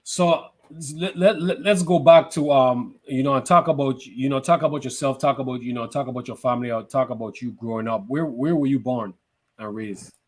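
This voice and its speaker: A man's voice: medium pitch, fairly quick. A man hosting a podcast, asking loose, repetitive questions and sharing memories. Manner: loose, repeats words while framing questions. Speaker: podcast host. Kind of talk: casual interview.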